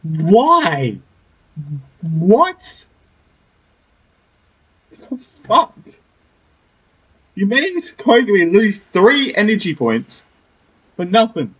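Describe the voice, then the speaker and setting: A man's voice: high voice, very animated, drawn-out words, long pauses, a bit bright, husky, low-quality recording. A man giving casual let's play commentary, reactive and playful, often repeating short phrases. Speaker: gaming video creator.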